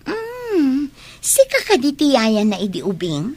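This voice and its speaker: A woman's voice: high voice, huge pitch swings, measured pace, a little mellow, exaggerated voice. An actress playing an inquisitive young woman in a radio comedy drama, with an Ilocano Filipino accent. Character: inquisitive young unmarried woman, politely probing. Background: music.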